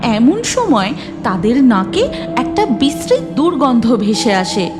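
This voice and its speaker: Lively woman narrator over music. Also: a little high, slow. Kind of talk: scripted cartoon story narration.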